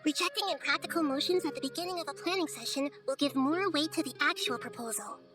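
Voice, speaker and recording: A high, girlish voice of a small god of wisdom , acted by a woman, sits over clear background music. She is gentle and thoughtful, choosing words with care. The delivery is measured, articulate video game acting. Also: lightly acted. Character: wise, gentle little-girl god of wisdom.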